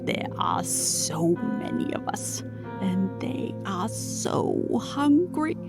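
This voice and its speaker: An imperious demoness for an audio drama, with a voice that swings widely in pitch. She speaks formally and without hurry, amused and menacing, over loud music. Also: wildly swooping pitch, takes it slowly. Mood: coldly menacing. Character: imperious female demon, taunting and unhurried.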